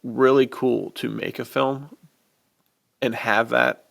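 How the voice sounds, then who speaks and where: A man hosts a casual podcast with obvious enthusiasm. His voice is full of heavy vocal fry at an average pitch. He talks in easy, slangy bro speak. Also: little pitch movement, takes it slowly, a bit bright. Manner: bro slang, often says dude. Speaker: podcast host.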